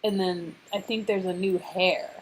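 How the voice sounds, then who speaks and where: A woman's voice: lowish voice, steady tone, unhurried, a little hiss or hum, low-quality recording. A woman chatting casually and playfully as she reacts to a story game she is playing with a co-host. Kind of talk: casual banter during a game playthrough.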